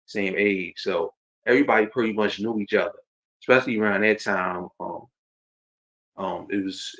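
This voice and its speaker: A man's voice: on the low side, creaky, a bit bright. A man of about forty with a New Jersey accent, chatting casually and informally as he hosts a livestream, rambling and repeating himself. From Jersey City, New Jersey. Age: around 40. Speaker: host. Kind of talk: casual autobiographical livestream chat. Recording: dull, lo-fi stream audio.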